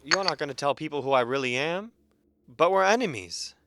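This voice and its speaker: A man's voice: on the high side, expressive, fairly quick, noisy background. A man playing a wounded, wary and earnest masked superhero in a radio drama. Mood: wary, surprised.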